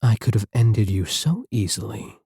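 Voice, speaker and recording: A man's voice: lowish voice, expressive, normal speed, gravelly, a little mellow, husky, no echo, clean studio sound, full character voice. A man playing a sneering villain scientist. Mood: mocking. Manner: taunting, sarcastic, condescending. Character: mocking male villain scientist, arrogant. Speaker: voice actor in audio roleplay. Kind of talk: ASMR roleplay audio.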